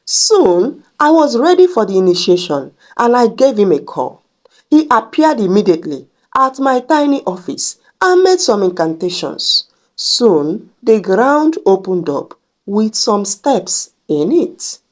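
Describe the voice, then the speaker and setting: A woman narrating a first-person folktale in a slow, expressive storytelling manner. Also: medium pitch.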